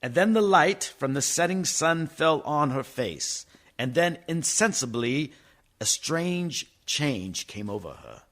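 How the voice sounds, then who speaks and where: A man's voice: a little high, big pitch swings, slow pace, a bit bright, full character voice. A man playing a solemn, grieving doctor who narrates his tale in a radio play. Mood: solemn, haunted.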